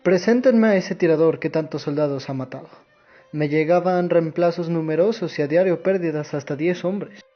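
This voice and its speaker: A man's voice: fairly high pitch, normal speed, lightly acted. A man playing an astonished, demanding captured military officer in a radio drama. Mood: indignant, astonished. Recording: dull lo-fi recording, music underneath.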